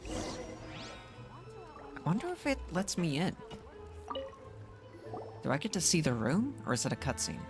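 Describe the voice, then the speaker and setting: A woman's voice: on the low side, huge pitch swings, takes it slowly, long pauses, over music. A woman giving casual gameplay commentary, muttering to herself as she streams. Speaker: video game streamer.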